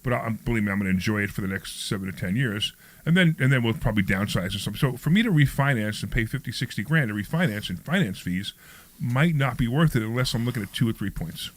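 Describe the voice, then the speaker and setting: A man's voice: medium pitch, expressive, fast talker. A man in his sixties hosting a talk radio show, rambling and repeating himself in casual chat. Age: 60s.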